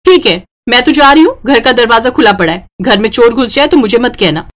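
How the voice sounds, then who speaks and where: A woman's voice: a little high, lively intonation, brisk, pitched above the performer's own voice, close to an everyday voice. A woman voicing a rude, spoiled young city daughter-in-law in a story, pert and bossy. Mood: rude, impatient.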